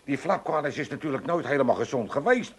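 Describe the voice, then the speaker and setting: A man's voice: medium pitch, lively intonation, fast, slightly rough. An actor playing a grumpy, mischievous old father with an Amsterdam accent in a comic radio play, muddled and mocking, stumbling over his words. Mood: gleeful mocking. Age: older.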